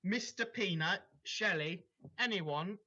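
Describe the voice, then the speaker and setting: A man's voice: sits high, slow pace, sharp tone, exaggerated voice. A man reading the part of an anxious, huffy cat-girl raisin mascot in a story, over dull lo-fi audio. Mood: worried. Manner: indignant, folksy exclamations.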